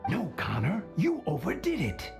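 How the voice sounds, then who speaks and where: Dramatic old barfly for an anime game, gravelly, wildly swinging pitch, opinionated and over-the-top. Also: male voice, huge pitch swings, moderate pace, music in the background, exaggerated voice. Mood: earnest praise. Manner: theatrical, exaggerated. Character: dramatic old tavern drinker, opinionated and effusive.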